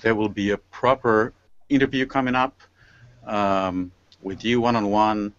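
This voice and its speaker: Male panel host, low register, unscripted and halting, close dry sound on a slightly muffled call. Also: steady tone, no room sound. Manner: hesitant, stop-and-start phrasing. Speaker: host of an online panel show. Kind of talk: informal unscripted hosting. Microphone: online video call. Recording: slightly dull, lo-fi online video call.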